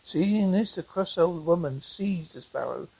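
Husky, creaky storyteller reading haltingly. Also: mid-range voice, lively intonation, mellow, poor audio. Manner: halting, stumbling over words. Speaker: storyteller reading a fairy tale. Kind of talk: halting amateur read-aloud narration of a folk tale.

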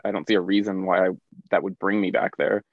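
A man's voice: sits low, flat delivery, fast, no echo. An American man co-hosting a casual podcast, talking frankly and self-mockingly with many restarts. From United States. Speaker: podcast co-host. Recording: dry, dull lo-fi mic.

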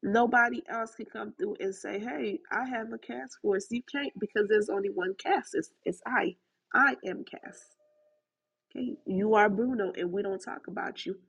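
Husky woman with a Haitian-Southern accent. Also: mid-range voice, normal speed, a bit bright, dull audio. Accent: Haitian and Southern US blend. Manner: emphatic, repeats points, talks straight to listeners. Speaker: podcast host. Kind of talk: motivational podcast talk. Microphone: recorded through a voice chat app.

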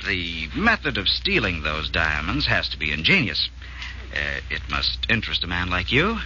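Halting, polite insurance man. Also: deep voice, huge pitch swings, sharp tone, exaggerated voice. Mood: hesitant, coaxing. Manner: halting, deliberate. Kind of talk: 1940s radio drama acting. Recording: muffled, hissy old-time radio.

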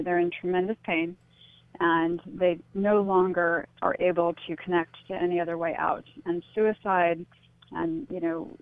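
A woman giving reflective, tentative interview answers as a guest, explaining things through anecdotes and analogies. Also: fairly low pitch. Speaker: interview guest, author and speaker on suicide loss. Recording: tinny phone line, echoey.

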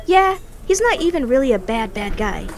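A woman plays a defiant, world-weary girl who is blunt to the point of hardness. The voice sits a little high and moves around a lot, with faint ambient noise behind it. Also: very animated, normal speed. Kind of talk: audio drama acting.

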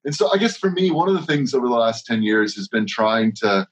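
A man's voice: medium pitch, very animated, a little fast. A man who leads worship, talking casually in an interview, rambling with frequent restarts and strings of rhetorical questions. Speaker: worship leader.

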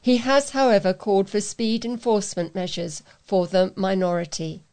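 A woman's voice: mid-range voice, fairly even intonation, normal speed, darkish tone, clear, resonant tone. Woman reading local news aloud. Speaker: volunteer local news reader. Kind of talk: read-aloud local newspaper report.

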